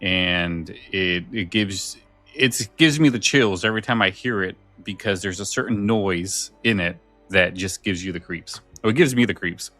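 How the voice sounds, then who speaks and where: A man's voice: low voice, normal speed, pushed and bright, no room sound, soft background music. A man co-hosting a podcast in a casual, informal way, often repeating his phrases. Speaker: podcast co-host.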